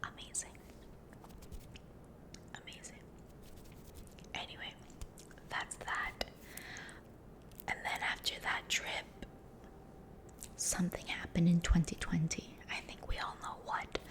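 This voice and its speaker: A young woman whispering a rambling story close to the mic, softly repeating words to herself. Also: lowish voice, a little slow, long pauses. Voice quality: unvoiced whisper.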